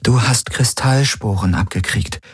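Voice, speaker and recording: A man voicing a caring young woman crew member in a science fiction audiobook, speaking softly and reassuringly. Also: medium pitch, moderate pace, husky, no room sound, studio quality, pitched above the performer's own voice, natural voice. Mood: gentle. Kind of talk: audiobook character voice.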